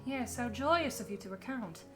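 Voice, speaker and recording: A woman's voice: on the high side, lively intonation, normal speed, music in the background, natural voice. A woman playing a gracious, teasing young Victorian wife and hostess in a scripted audio roleplay, with polite, playful phrasing.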